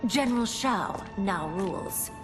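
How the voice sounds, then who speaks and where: An actress playing a bold, composed young warrior princess in a video game, formal and defiant with a steely manner. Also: female voice, mid-range voice, animated, measured pace, lightly acted. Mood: feigned loyalty. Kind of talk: video game cutscene acting. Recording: dramatic music behind.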